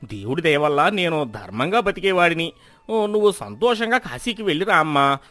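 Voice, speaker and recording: Greedy two-faced shopkeeper, high voice, wildly swooping storybook delivery, oily. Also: male voice, normal speed, dry recording. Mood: gloating, fawning. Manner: oily, exaggerated, animated.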